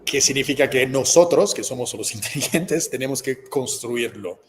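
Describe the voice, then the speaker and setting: Italian-accented man, mid-pitched, chatting. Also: animated, moderate pace, slight background noise. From Calabria, southern Italy. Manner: searches for words in a second language. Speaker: guest author and speaker. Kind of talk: casual interview chat.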